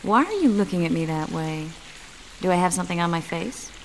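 A young sorceress in a dramatic video game scene. Her voice sits a little low, tender and wistful, with expressive phrasing. Rain patters clearly behind her. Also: brisk, rough recording. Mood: curious, teasing. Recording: steady rain and ambient noise behind.

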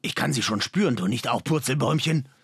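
A man's voice: medium pitch, fast, fairly bright tone, husky, no room sound, studio quality. Man voicing a fond old grandfather. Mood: fond wonder. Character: affectionate old grandfather, gently mystical. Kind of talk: audiobook character dialogue.

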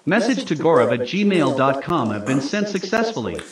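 A man's voice: on the high side, very animated, moderate pace, far from the mic. A man's text-to-speech voice reading out an app notification. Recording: echoey speaker playback from afar.

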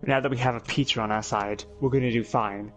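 A man's voice: mid-range voice, fairly even intonation, fast talker, faint music behind. A young man running a group game session, joking goofily and in a sing-song way with his friends. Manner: goofy, sing-song, jokey. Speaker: gamer and group organizer. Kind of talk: casual gaming banter.

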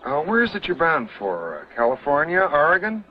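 An actor playing a slick, glib young counterfeiter with evasive, smooth talk. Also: male voice, a little high, huge pitch swings, brisk. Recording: dull old TV soundtrack with faint hiss.

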